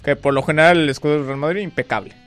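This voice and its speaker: A Mexican man with a Mexican Spanish accent co-hosting a casual football chat, opinionated and quick with short interjections. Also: fairly high pitch, steady tone, a bit bright, faint music behind. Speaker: sports talk co-host.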